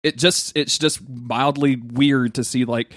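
A laid-back man co-hosts a podcast with an easy, jokey delivery and casual swearing. His voice sits at an ordinary middle pitch. The sound is dry, with no room echo. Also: fairly even intonation, unhurried. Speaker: podcast co-host. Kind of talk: casual chat.

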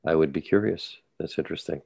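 Very deep-voiced halting man. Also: creaky, darkish tone, husky, no echo, clean studio sound. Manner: halting, many restarts and repeated words. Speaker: journalist, podcast co-host. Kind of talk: casual podcast discussion.

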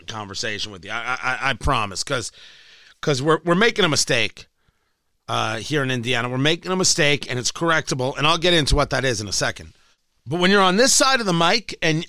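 A man's voice: a little high, sharp tone, dry recording. A male radio talk show host whose voice is slightly strained, talking in a rambling, emphatic monologue with frequent restarts from his home studio. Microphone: studio mic, working from home.

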